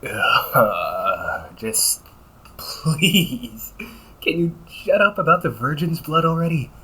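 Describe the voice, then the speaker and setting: A man's voice: fairly high pitch, expressive, takes it slowly, pushed and bright, exaggerated voice. A man playing a cynical, teasing vampire cop in an audio roleplay, talking in a slangy, sarcastic and flippant way. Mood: exasperated, sarcastic. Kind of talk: audio roleplay, casual conversational acting.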